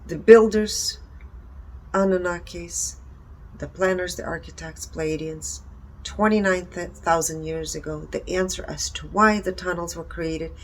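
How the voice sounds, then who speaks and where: A woman's voice: lowish voice, steady tone, a little slow, a little hiss or hum, poor audio. A woman presenting findings and personal commentary in short, emphatic, earnest phrases. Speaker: presenter reporting energy readings.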